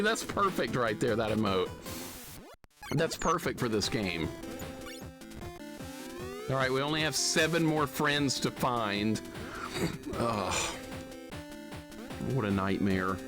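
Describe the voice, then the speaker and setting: Male live streamer, mid-pitched, griping sarcastically through casual gameplay chat over prominent game music. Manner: grumbling, sarcastic complaining. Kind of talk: casual gaming commentary.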